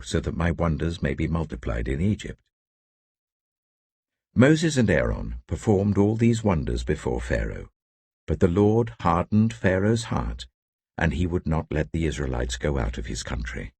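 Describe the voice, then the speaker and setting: A man giving a scripted, solemn and deliberate reading of scripture as a narrator, with emphatic phrasing. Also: low voice, vocal fry, a little mellow, breathy edge, no echo. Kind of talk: scripted audio Bible reading.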